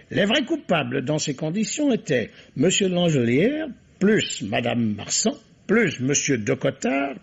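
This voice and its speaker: An actor playing a shrewd, courteous, self-assured police commissioner in a formal, theatrical radio mystery style. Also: male voice, high voice, vocal fry, slightly rough, exaggerated voice. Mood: smug, teasing. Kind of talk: vintage French radio mystery acting. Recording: dull, muffled old radio recording.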